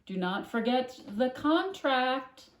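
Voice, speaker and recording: A woman voicing a stern, insistent mother who shouts up at her daughter, in a roomy-sounding recording. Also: sits high, lively intonation, takes it slowly, pitched above the performer's own voice. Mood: shouting. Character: stern nagging mother, a writer.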